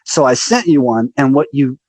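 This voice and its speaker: A man's voice: mid-range voice, expressive, normal speed, darkish tone, no room sound, low-quality recording. A man hosting a podcast interview who chimes in often with enthusiastic agreement and retells his own memories. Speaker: podcast host, musician.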